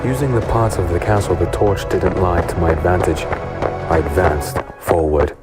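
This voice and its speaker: An actor playing a wary hooded rogue, narrating in the first person in a fantasy audio drama, low-key and brooding. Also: male voice, steady tone, moderate pace, close to an everyday voice. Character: wary, hooded male rogue narrating his own infiltration. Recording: loud music bed behind voice.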